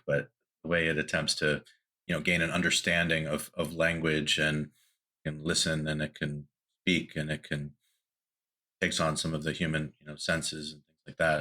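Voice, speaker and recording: A man's voice: rumbling low, pushed and bright. A man hosting a podcast interview, talking in a loose, rambling way with many fillers and restarts. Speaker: podcast host.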